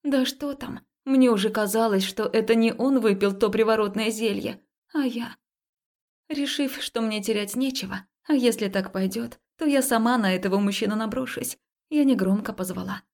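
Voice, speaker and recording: Expressive female audiobook narrator. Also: a little high, moderate pace. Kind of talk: first-person narration of a romance story, acted dialogue.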